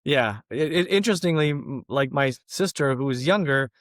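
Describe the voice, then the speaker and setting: A man's voice: mid-range voice, animated, normal speed, dry recording, clean studio sound. A middle-aged man with an American accent, chatting casually as an interview guest, whose first language was Yiddish. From born in Lithuania, grew up in Russia and the US Midwest. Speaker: interview guest, company president. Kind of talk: casual chat.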